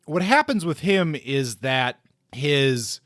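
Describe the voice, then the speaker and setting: A man hosting a podcast, reviewing things in a casual, conversational way with measured opinions. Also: on the high side, expressive, fairly bright tone, no room sound, studio quality. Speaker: podcast host.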